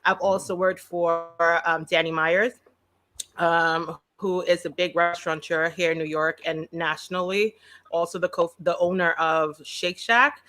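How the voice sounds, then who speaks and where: Bright-voiced Trinidadian woman, playful. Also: on the low side, measured pace. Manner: animated, playful, drops into dialect. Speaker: panel guest, food blogger and podcaster. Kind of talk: casual video call panel chat.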